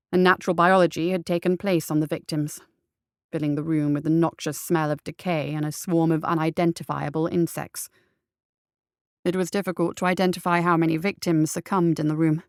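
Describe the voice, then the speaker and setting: A woman reading as a haunted private investigator writing home, formal, sombre and measured, in an audio drama. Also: fairly low pitch, vocal fry, no room sound, clean studio sound. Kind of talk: audio drama letter narration.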